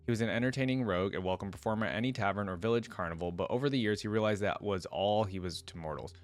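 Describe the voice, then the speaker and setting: A man's voice: lowish voice, quick pace. A man reading fantasy lore aloud and commenting on it in a casual, informal way, as a reaction video creator. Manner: casual, informal asides between read passages.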